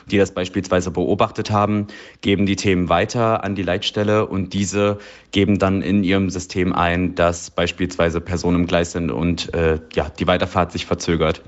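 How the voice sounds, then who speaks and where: A man's voice: low voice, level tone, normal speed. A man speaking as a union secretary, giving an explanatory interview answer. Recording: dull lo-fi interview audio.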